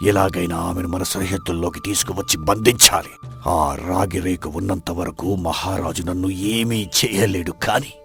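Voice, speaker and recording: A man plays a scheming, villainous fort lord in a folk tale. He speaks in a very deep voice with a haughty, commanding manner. Light music sits underneath. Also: moderate pace, vocal fry, fairly bright tone. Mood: irritated, menacing. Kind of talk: Telugu audio folk tale acting.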